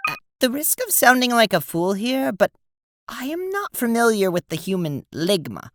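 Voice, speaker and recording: A woman's voice: a little high, very animated, normal speed, a bit bright, a little hiss or hum. A woman playing a prim, earnest, easily confused alien troll who speaks formally in an audio drama. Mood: earnest, affronted. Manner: formal, wordy.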